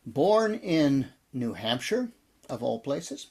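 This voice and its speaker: An American man in his sixties reminiscing in an interview as a guest, telling his story in a reflective, halting way. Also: mid-range voice, very animated, a little mellow, breathy edge. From American Midwest and Texas. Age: 60s. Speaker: interview guest, audio drama producer and actor.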